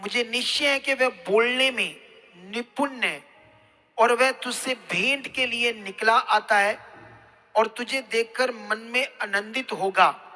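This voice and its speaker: High, forceful male preacher in a hall. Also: takes it slowly. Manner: emphatic, questioning the congregation. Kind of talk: sermon to a congregation. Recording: hall reverb, music behind.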